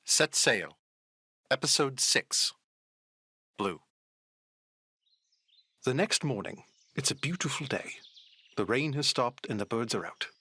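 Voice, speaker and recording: A man's voice: mid-range voice, lively intonation, slow pace, long pauses, bright, forceful tone, slightly rough. A man delivering scripted narration for an audio drama.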